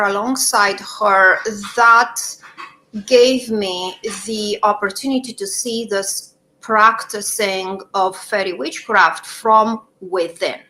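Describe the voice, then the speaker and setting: Bright-voiced woman author. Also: mid-range voice, a little hiss or hum, low-quality recording. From Romania. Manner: thoughtful, pauses with fillers, laughs easily. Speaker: author guest. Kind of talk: conversational interview answers.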